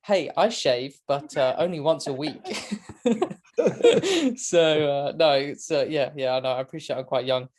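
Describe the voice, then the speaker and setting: A man's voice: a little high, unhurried, darkish tone. A British man in his twenties chatting casually as an interview guest, talkative and self-deprecating. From Great Britain. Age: 20s. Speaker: guest, motorcycle racing commentator. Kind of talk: casual chat.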